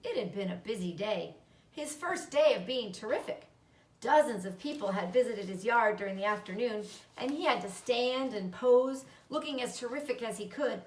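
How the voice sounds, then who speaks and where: A woman's voice: fairly high pitch, lively intonation, normal speed. A woman narrating a children's storybook as a read-aloud. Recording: roomy, echoing, distant microphone.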